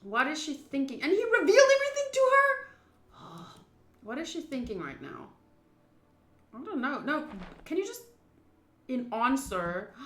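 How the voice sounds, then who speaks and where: A young woman plays and narrates a visual novel. Her voice is fairly high and bright, with a roomy echo around it. She is chatty and expressive, moving between reading and commentary. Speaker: streamer playing a visual novel. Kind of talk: gaming commentary, reading story text aloud. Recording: roomy, echoing voice.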